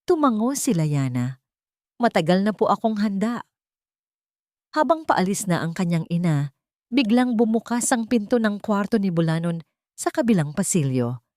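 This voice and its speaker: A woman's voice: medium pitch, sing-song, moderate pace, darkish tone, no room sound, studio quality. A woman narrating a scripted dramatic story as a storyteller.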